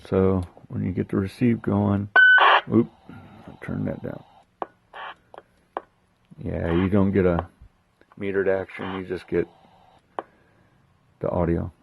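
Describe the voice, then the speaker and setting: A man giving a casual hands-on demonstration, thinking aloud in an unhurried way as he handles the gear. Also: deep voice, level tone, creaky, narrow, tinny audio, heavy background noise. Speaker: host of a gear unboxing and testing video.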